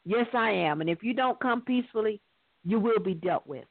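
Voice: mid-range voice, animated, moderate pace, no echo. Stern major general on a phone line, read by a narrator. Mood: stern, threatening. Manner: commanding, formal. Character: stern major general, threatening and self-important. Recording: thin, dry phone-line sound.

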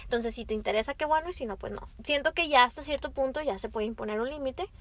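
A young Mexican woman with a high voice talks in a casual co-host style. She explains things in everyday slang, as if in conversation with peers. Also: fast talker. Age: early 20s. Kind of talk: casual chat.

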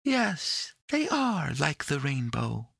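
A man's voice: a little high, wildly swooping pitch, takes it slowly, gravelly, fairly bright tone, dry recording, clean studio sound, voice pushed up, full character voice. A man voicing a tiny, sweet, cheerful female fairy for a storybook, with a coaxing, sing-song manner. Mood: sunny, reassuring. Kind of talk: storybook character voice.

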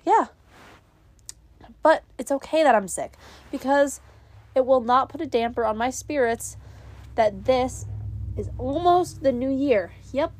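A young woman hosts her own podcast, talking casually and wandering off on tangents with plenty of self-mockery. She has a cold, so her voice comes out stuffy and a little nasal. Her voice sits slightly high, and the recording is close and dry. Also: no room sound. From New England, US. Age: young adult. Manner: rambling, chatty, self-mocking. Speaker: podcast host. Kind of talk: casual solo podcast chat.

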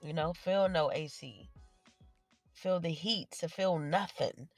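Podcast host, stuffy nose, rambling, playful, swearing, solo monologue, inside a parked car, mid pitch, clear music behind. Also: slow pace, no echo.

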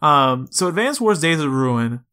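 Bright-voiced man co-hosting a casual podcast. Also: a little high, very animated, unhurried, smooth. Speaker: podcast co-host. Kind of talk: casual video game discussion.

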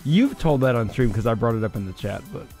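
A man's voice: medium pitch, lively intonation, fast talker, a little mellow, faint music behind. A man co-hosting a casual livestream with his brother, making dry, teasing remarks. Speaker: co-host, brother of the host.

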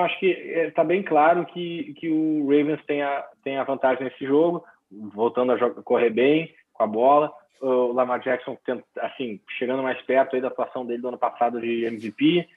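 Casual male co-host, husky, phone-quality audio, loose joking delivery. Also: mid-range voice. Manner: joking, rambling with restarts. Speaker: podcast co-host, NFL picks. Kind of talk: casual sports chat. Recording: tinny phone-like line.

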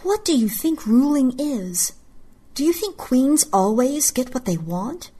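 A scornful, cynical heroine, put on by a woman narrator, shouts high and sharp. Her rebukes are cutting, with a husky grain to the voice, like a fantasy audiobook. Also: very animated, measured pace, exaggerated voice. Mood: scornful shouting.

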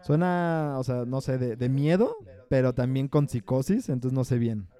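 A man with a Mexican Spanish accent, co-hosting a casual podcast about horror films, curious and quick with his questions. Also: mid-range voice, lively intonation, warm tone. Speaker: podcast co-host.

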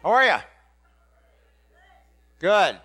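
A blunt, ordinary middle-aged fisherman apostle, played by a man speaks in a rather high voice to a congregation. He rambles and stumbles over restarts in a casual, excitable way. The sound is dry and close. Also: very slow, slightly rough, no echo, exaggerated voice. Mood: chatty. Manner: excitable, blurting. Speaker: dramatic first-person preacher. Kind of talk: first-person biblical monologue to a congregation.

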